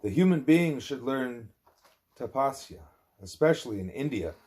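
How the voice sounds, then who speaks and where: A man reading a spiritual discourse aloud as an audiobook narrator, with deliberate, measured phrasing. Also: medium pitch, animated, darkish tone. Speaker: audiobook reader.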